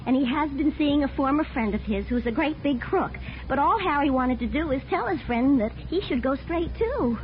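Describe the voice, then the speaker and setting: A woman's voice: high-pitched, expressive, moderate pace, hardly any pauses. An actress playing a brassy, feisty woman who stands her ground, in 1950s radio drama style. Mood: indignant, bossy. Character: brassy, evasive working-class young woman; bossy protective wife. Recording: muffled, slightly noisy 1950s radio recording.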